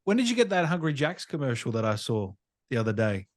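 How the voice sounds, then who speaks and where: A man's voice: medium pitch, sing-song, fast talker. A man chatting casually as he interviews a guest with short questions and reactions. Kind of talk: casual chat.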